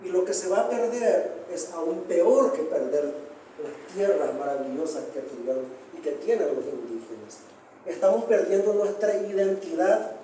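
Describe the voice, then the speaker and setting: A man speaking as a psychoanalyst gives earnest, declamatory remarks full of rhetorical questions. His mid-high voice is mellow in tone, caught from far off in a large echoing hall with faint noise. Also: lively intonation, moderate pace. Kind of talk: panel discussion remarks. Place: large hall, book presentation.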